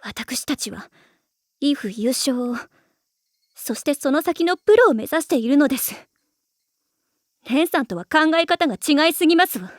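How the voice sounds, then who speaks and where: A woman's voice: sits high, animated, normal speed, pauses often, no echo, studio quality. A woman voicing a proud, self-blaming teenage schoolgirl in an anime, with formal, refined ladylike speech. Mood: stiff, resigned. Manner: formal, refined ojou-sama way of speaking. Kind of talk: anime voice acting.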